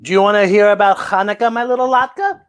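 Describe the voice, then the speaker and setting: A woman voicing a grumbly old grandfather potato pancake from a children's storybook, with drawn-out, emphatic words. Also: medium pitch, lightly acted. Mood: kindly.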